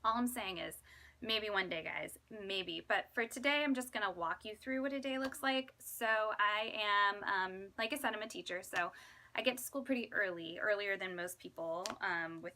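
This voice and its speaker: A woman in her thirties chatting casually to viewers in an animated, self-deprecating, exclamatory way, like a vlogger. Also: medium pitch, moderate pace, slight background noise. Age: 30s. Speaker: vlogger and fifth grade teacher. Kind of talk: casual chatty vlog to camera.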